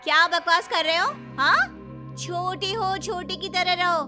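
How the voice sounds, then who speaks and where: A woman voicing a spoiled, lazy, short-tempered young daughter-in-law in a cartoon, scolding in a shrill, bossy way. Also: measured pace, music in the background. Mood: angry, scolding.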